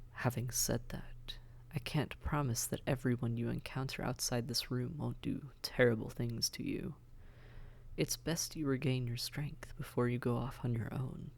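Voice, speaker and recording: A man playing a suave, seductive demon prince in an intimate audio drama, breathy and often near a whisper, teasing and coaxing in formal wording. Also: mid-range voice, fairly even intonation, unhurried, darkish tone. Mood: teasing, courteous.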